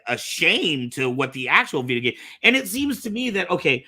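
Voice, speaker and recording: He is a podcast host with a forceful, somewhat high male voice. He talks casually and with strong opinions. His sentences stumble and restart as he repeats words. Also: sing-song, normal speed. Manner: swears casually. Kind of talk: casual nerd-culture discussion.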